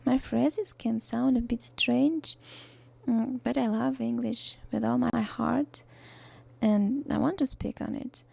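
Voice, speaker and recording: Young Russian-accented woman, mellow and gravelly, halting tutorial voice-over. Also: on the high side. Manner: halting, with many fillers and restarts, soft-spoken. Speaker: art tutorial narrator. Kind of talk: voice-over narration of a drawing tutorial.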